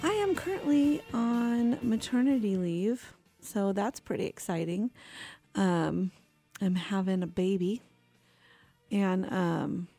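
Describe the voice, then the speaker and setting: A woman's voice: mid-range voice, slow, mellow, soft background music. A woman of forty with a young-sounding voice, hosting a radio show in a rambling, personal way, tired and laughing often. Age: 40s. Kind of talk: casual chatty radio talk.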